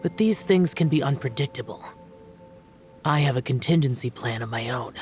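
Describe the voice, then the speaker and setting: A man's voice: mid-range voice, animated, close to an everyday voice. Aloof immortal guardian played by a man. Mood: solemn, resolute. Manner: formal, measured, terse. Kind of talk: video game voice acting. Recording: faint music behind the voice.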